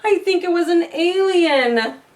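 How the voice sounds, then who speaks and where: A woman voicing an excitable, imaginative little male bear cub in a storybook, squealing and exclaiming, distant from the mic. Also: very high voice, unhurried, pitched above the performer's own voice. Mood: alarmed, excited. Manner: squealing, wide-eyed exclaiming. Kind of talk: children's storybook read-aloud.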